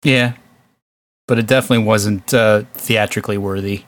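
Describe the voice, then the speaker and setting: A man's voice: fairly low pitch, steady tone, moderate pace. A man co-hosting a podcast in casual, conversational chat. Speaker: podcast co-host.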